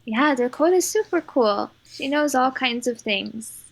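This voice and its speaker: A woman's voice: high-pitched, lively intonation, measured pace, creaky. A woman playing a tabletop game, speaking in casual, improvised role-play.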